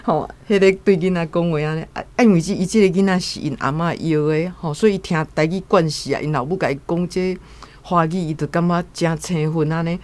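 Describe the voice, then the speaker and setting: A woman's voice: fairly low pitch, steady tone, a little fast, a little mellow. A woman with a Taiwanese accent casually retelling a story as a guest commentator, acting out quotes.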